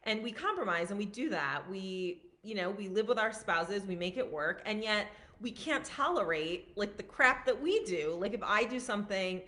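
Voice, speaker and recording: A woman in her thirties, a physician and life coach, talking casually on a live stream in a loud, projecting voice with lots of chatty asides. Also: mid-range voice, lively intonation, normal speed, hardly any pauses. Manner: chatty, many fillers and restarts.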